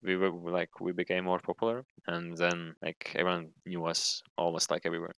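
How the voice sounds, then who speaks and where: Low, bright young man's voice from Latvia. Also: level tone, brisk, creaky, smooth. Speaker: professional esports player. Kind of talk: casual interview chat.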